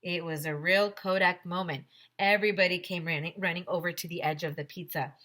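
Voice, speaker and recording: Bright, forceful woman storyteller. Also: fairly low pitch, a little fast, slight background noise. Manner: expressive, emphatic. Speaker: teacher reading aloud to children. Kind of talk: animated children's story narration.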